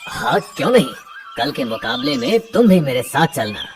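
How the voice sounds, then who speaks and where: A man's voice: medium pitch, very animated, moderate pace, over music, natural voice. A man voicing a noble, resolute warrior king in a cartoon, with a dignified manner. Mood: gracious.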